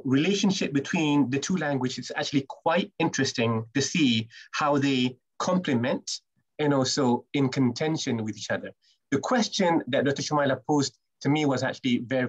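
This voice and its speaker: A man's voice: medium pitch, breathy edge. A man from Malaysia, a Malay speaker with a Malaysian English accent, giving a chatty talk as an English teacher, often restarting words and using "you know". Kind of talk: presentation on a video call. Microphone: video call mic. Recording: dull lo-fi video call audio.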